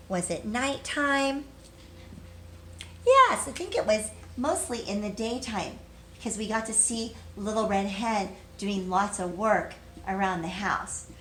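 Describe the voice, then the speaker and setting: A woman's voice: medium pitch, a bit bright. Female early-years teacher, question-and-answer storytime lesson, simple encouraging delivery, some background noise. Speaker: teacher reading aloud to young children. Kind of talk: children's read-aloud lesson with questions and answers.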